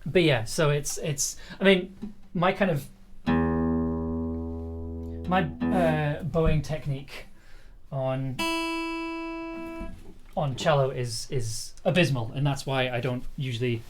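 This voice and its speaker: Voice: medium pitch, takes it slowly, long pauses, over music. A livestream host chatting casually while demonstrating, with a hesitant, self-deprecating manner. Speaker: livestream host, audio drama producer and musician.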